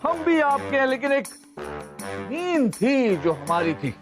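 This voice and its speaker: An actor playing a weary, deferential courtier in a TV sitcom, speaking in a plaintive, measured way. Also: male voice, extremely high pitch, big pitch swings, full character voice. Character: weary, deferential male courtier kept from sleep. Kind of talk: TV sitcom acting. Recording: loud music over the dialogue.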